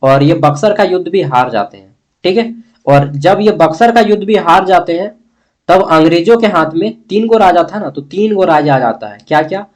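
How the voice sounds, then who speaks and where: Male history tutor, fairly high voice, Bihar-Jharkhand accent; colloquial, repeats key points, poses questions and answers them. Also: animated, moderate pace. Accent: eastern Indian Hindi, Bihar-Jharkhand. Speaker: history teacher. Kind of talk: informal exam-prep lesson, explaining a text.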